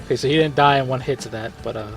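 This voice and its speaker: Relaxed male gaming host, mid-range voice, chatty and meandering, faint background music. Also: medium pitch, racing, vocal fry. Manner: rambling, relaxed, frequent fillers and self-corrections, chuckles. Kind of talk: casual gameplay commentary.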